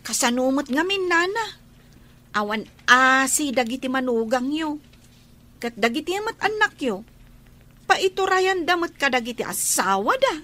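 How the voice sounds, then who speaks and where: Woman doing a young girl in a radio drama, weepy and pleading, super high voice. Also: very animated, normal speed, bright, forceful tone, exaggerated voice. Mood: earnest. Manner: tearful, pleading. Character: young woman Angie, heartbroken over her love. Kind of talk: Ilocano radio drama acting.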